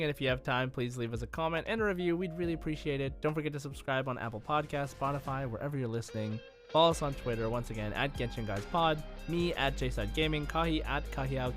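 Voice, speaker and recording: A man with a medium-pitched voice hosts a gaming podcast. His delivery is informal and conversational, with music faintly audible behind him. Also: fairly bright tone. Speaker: podcast co-host. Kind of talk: casual gaming podcast chat.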